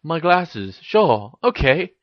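A man's voice: fairly high pitch, big pitch swings, slow, dry recording, highs cut off, voice pushed up. A man voicing an awkward, earnest teenage boy wizard in a story reading, tentative in delivery. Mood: flustered. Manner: tentative, slightly flustered.

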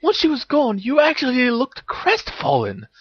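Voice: high voice, wildly swooping pitch, normal speed, dry recording, lo-fi sound, voice pushed up, exaggerated voice. A reader voicing a teenage girl witch, a bossy and teasing best friend who speaks in emphatic exclamations, for an audio story. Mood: taunting.

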